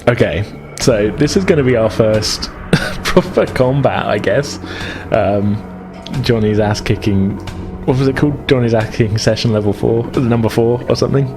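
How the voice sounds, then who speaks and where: A man's voice: medium pitch, normal speed. A man acting as game master, explaining and narrating a tabletop game in a casual, hesitant way.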